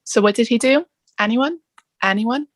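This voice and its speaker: A woman playing a male Latin teacher in a table read, lecturing on myths in a measured, theatrical way with rhetorical questions. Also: on the high side, no room sound, lightly acted. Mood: dramatic lecturing.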